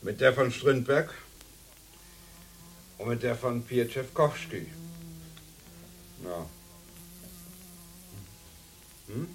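A seminar leader in a radio drama, speaking very slowly. He is measured and drily ironic, feeling his way through academic points with hesitant pauses, at an ordinary male pitch. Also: expressive, a little hiss or hum, low-quality recording. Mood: dryly sceptical.

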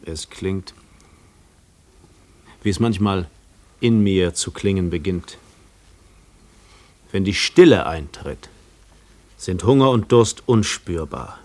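An actor in a radio play playing a tormented, weary man who longs for quiet, with a brooding, halting, intense delivery. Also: sits low, animated. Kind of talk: German radio play acting.

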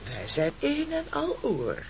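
An actor playing a pompous, scheming castaway leader in a radio play, with oratorical delivery. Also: male voice, fairly high pitch, wildly swooping pitch, slow pace, a little hiss or hum, heavily acted. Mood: reproachful. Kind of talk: theatrical radio play acting.

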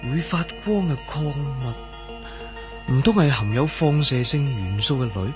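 An actor in a radio drama playing a troubled, excitable man who tells of his ordeal in Hong Kong Cantonese, with sighs and hesitation. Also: medium pitch, unhurried, over music, close to an everyday voice. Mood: amazed, wary. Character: troubled man named Ah-Yin, turned invisible, recounting his ordeal.

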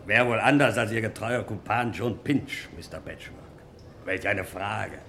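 An actor playing a fawning, pompous greeter from a luxury hotel in a radio crime play, with florid, formal manners. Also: male voice, on the low side, unhurried, pushed and bright, slight background noise. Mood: fawning, grandiose.

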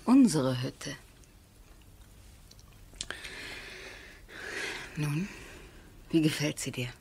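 An actress playing a thoughtful, wary aristocratic wife in a radio drama, with measured, intimate, softly breathy delivery. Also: female voice, low voice, lively intonation, heavily acted. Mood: inviting, playful. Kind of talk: 1970s German radio drama acting.

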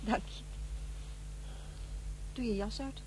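A woman's voice: on the high side, slow pace, noisy background. An actress playing a weary divorced mother in middle age in a radio play, matter-of-fact and reserved. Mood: cool, polite. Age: middle-aged. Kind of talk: radio play acting, naturalistic dialogue.

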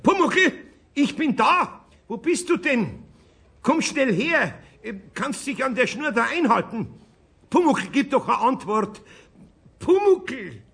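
An actor playing a kindly, worried older master carpenter with a Bavarian accent and husky voice, flustered and colloquial, in a 1970s children's radio play. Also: male voice, very high-pitched, sing-song, slow, fairly bright tone, full character voice. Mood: anxious calling.

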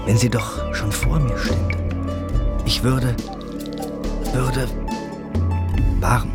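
An actor playing an ardent, brave young prince in a radio play, speaking in an earnest, declamatory, poetic way. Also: male voice, medium pitch. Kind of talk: theatrical German radio play acting. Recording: radio drama under prominent music.

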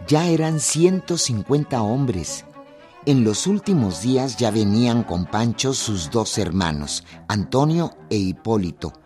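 A man's voice: medium pitch, darkish tone. A man narrating a scripted historical radio drama.

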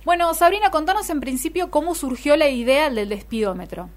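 A woman's voice: high voice, lively intonation, fairly quick. A woman with an Argentine accent co-hosting a radio show, conversational as she comments and interviews, often adding tag questions. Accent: Argentine Spanish. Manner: seeks agreement with frequent tag questions. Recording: music playing behind the voice.

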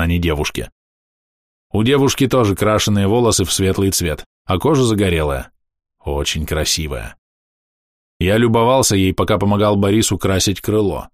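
A man reading a first-person diary aloud in a calm, matter-of-fact, measured way. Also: sits low, no room sound, studio quality. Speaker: audiobook narrator.

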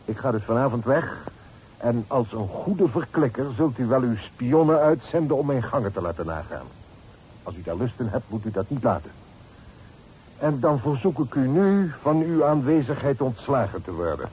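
Actor as a coldly dignified, mellow-voiced gentleman lodger. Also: mid-range voice, expressive, natural voice. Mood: cold, defiant. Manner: formal, measured, haughty. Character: dignified, guarded father renting rooms, coldly defiant. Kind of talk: Dutch period radio drama acting. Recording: noisy old radio play recording.

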